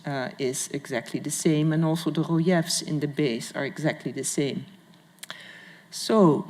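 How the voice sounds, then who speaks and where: Woman lecturing in a reverberant hall. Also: low-pitched. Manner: formal, halting, careful phrasing. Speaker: museum curator and guest lecturer. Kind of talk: public art history lecture. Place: large indoor lecture hall with audience.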